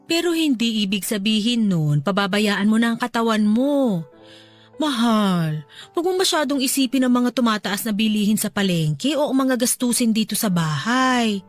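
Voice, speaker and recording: An actress with a Filipino accent playing a loving, reassuring middle-aged farmer's wife in a radio drama, speaking in a soothing, affectionate way. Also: female voice, on the high side, lively intonation, normal speed, faint music behind, close to an everyday voice. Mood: tender concern. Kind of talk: Tagalog radio drama acting.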